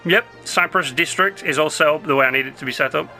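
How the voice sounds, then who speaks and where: A young man giving rambling, casual commentary while playing a city-building game, his voice going hoarse. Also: on the high side, fast talker, bright, forceful tone, soft background music. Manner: chatty, rambling, self-interrupting. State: losing his voice. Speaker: gameplay commentator.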